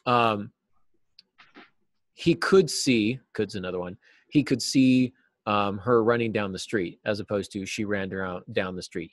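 A middle-aged American man giving informal, halting explanations as an interview guest on a video call. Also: fairly low pitch, dry recording. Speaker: interview guest, novelist and writing teacher. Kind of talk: casual interview answers. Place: small cluttered office, on a video call.

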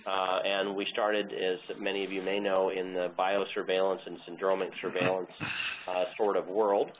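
A faintly noisy, narrow phone line carries a fairly low-voiced American man. He hosts a webinar informally. He talks haltingly and casually, with a light teasing manner. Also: level tone. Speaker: webinar host. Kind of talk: informal introduction. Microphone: phone or web conference line.

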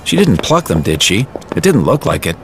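A man's voice: lowish voice, sing-song, fairly quick, noisy background. A man giving scripted, vivid storytelling narration for an audio drama.

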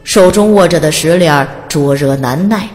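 A woman's voice: sits low, moderate pace, darkish tone, long reverb tail. A woman narrating a fantasy audiobook in a dramatic, scripted storytelling style. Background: music.

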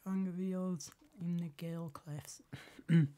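A hoarse woman with a lingering cold streams a game, clearing her throat between slow, drawn-out remarks. Her voice sits low and sounds dark and mellow. She mutters little halting asides to herself as she plays. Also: steady tone. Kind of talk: casual live game commentary.

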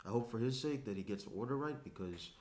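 A young man playing an awkward, earnest professional fiancé at a table read, with a halting delivery. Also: mid-range voice, lively intonation, natural voice. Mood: fussy, chatty. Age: young adult. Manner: halting, hesitant, circling the point. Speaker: actor reading a lead part. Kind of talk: screenplay table read, naturalistic. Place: small indoor room around a table. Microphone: shared USB tabletop microphone. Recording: roomy echo, clear noise.

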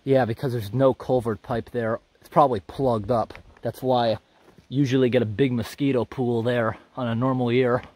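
Um homem gravando com câmera de mão enquanto caminha por uma trilha na floresta, comentando de forma descontraída e observadora o que encontra. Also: male voice, medium pitch, moderate pace. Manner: relaxed, observant, thinking aloud. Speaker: hiker filming a walking tour. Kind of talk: casual walk-and-talk narration. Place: outdoors on a forest trail. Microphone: handheld camera.

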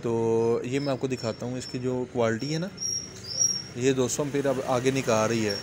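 A man's voice: mid-range voice, fairly even intonation, moderate pace, noisy background. A middle-aged man, casually demoing a welding machine at his shop counter and calling viewers "brother". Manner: chatty, repeats "bhaijan" and "theek hai ji". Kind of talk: casual product demo.

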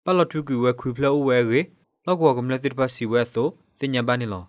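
A male news reader delivers a scripted radio bulletin in a medium-pitched voice. The sound is dry and close, with no room around it. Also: fairly quick, dry recording.

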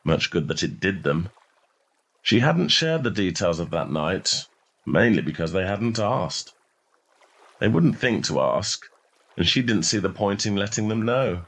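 A man with a low-pitched voice reads literary fiction as a storyteller. His delivery is scripted and measured, with expressive phrasing throughout. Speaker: short story narrator.

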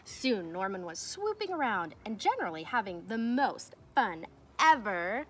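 A woman's voice: medium pitch, measured pace. Young woman reading a storybook aloud, outdoor noise. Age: young adult. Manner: expressive storytelling delivery with emphatic swells. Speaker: teacher reading aloud to pupils. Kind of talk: children's storybook read-aloud. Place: outdoors in a fenced backyard. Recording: noticeable outdoor ambient noise.

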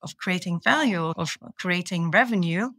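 Enthusiastic Belgian-born woman, lowish voice. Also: moderate pace, a bit bright, no echo, clean studio sound. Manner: enthusiastic, earnest, with small restarts. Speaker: procurement manager, guest. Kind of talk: podcast interview answers.

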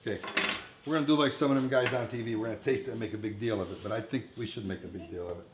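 A man's voice: lowish voice, steady tone, very fast. A man in his fifties hosting a casual cooking demonstration, chatting informally to viewers at home. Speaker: chef hosting a cooking show. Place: commercial-style kitchen, indoors. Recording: echoey room, some background noise, kitchen clatter.

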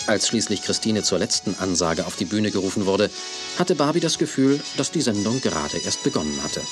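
A man's voice: lowish voice, expressive, a little fast, over music, natural voice. An actor as the composed male narrator of a children's audio drama, reading a scripted story.

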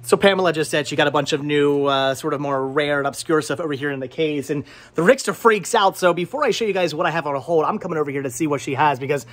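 An American man in his thirties chatting excitably as a vlog host, often repeating his words, recorded on a handheld camera indoors in a shop. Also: fairly high pitch, quick pace, few pauses. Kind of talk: casual handheld shopping vlog chat. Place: indoor flea market movie shop. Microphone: handheld camera at arm's length.

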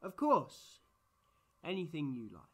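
A man's voice: fairly high pitch, huge pitch swings, a little slow, husky, exaggerated voice. A man playing an eccentric, playful time-travelling alien in a fan audio drama, rambling and boastful with whimsy. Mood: cheerful, rambling. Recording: noisy track with background ambience.

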